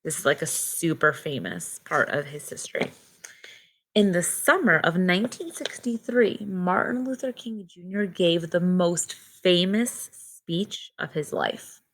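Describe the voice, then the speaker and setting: A woman reading a picture book aloud to children like a teacher, pausing to explain things in simple words. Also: lowish voice, expressive, rough recording. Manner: simple, child-directed explaining with short phrases. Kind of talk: read-aloud storytelling with simple explanatory asides.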